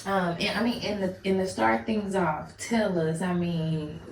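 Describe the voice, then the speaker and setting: A woman hosts a casual interview, backing her guest up with quick repeated replies. Her voice is low and gravelly, heard from off-mic in a roomy space with faint ambience. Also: distant mic, slight background noise. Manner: affirming, repeats short replies. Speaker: podcast host.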